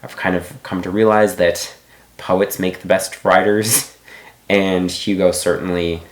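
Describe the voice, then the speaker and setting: Low-voiced man rambling about a book. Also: level tone, measured pace, reverberant. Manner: rambling, thinking aloud with many restarts. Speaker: book reviewer. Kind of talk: casual book review monologue.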